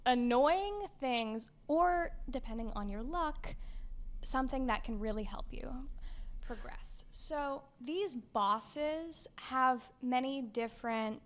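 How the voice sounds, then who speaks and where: Woman co-host with a fairly high voice, playful, dramatic, laughs often, casual gaming talk. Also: expressive, slow pace. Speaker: podcast co-host.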